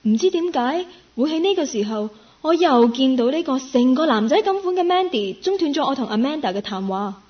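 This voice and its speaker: A friendly, inquisitive young woman in a Cantonese radio drama. Her voice sits high, with a Hong Kong accent, and the recording is slightly dull and lo-fi. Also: lively intonation, fairly quick, hardly any pauses. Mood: musing. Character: curious, friendly young woman, part-time worker, nicknamed Meow. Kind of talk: radio drama acting with first-person narration.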